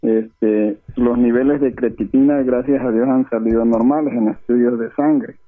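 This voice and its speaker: A man's voice: medium pitch, fairly even intonation, normal speed, dry recording. Man in his fifties on a phone line. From Chiapas, Mexico. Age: 50s. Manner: polite, methodically listing his treatment and symptoms. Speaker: caller.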